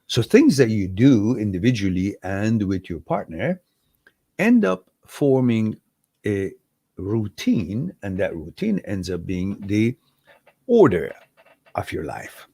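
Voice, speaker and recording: A man's voice: on the low side, very animated, slow pace, creaky, warm tone, breathy edge, no room sound. A man hosting a live question-and-answer stream, reading out viewer messages and explaining his ideas step by step. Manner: thoughtful, repeats and builds ideas step by step, chuckles at mix-ups. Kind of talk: live Q&A talk.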